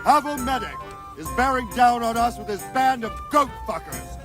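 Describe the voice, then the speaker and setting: A crude bellowing soldier commander. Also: male voice, extremely high pitch, lively intonation, normal speed. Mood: mocking, rousing. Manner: coarse, mocking battle speech. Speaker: commander rallying troops. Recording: game cutscene with music behind.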